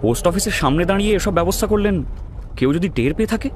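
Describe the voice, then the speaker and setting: A man's voice: high-pitched, lively intonation, rapid-fire, background noise. A man playing an eager, inquisitive young doctor in an audio drama, talking in a conversational way. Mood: questioning. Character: eager, questioning young male doctor and adventurer. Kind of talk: dramatized audio story dialogue.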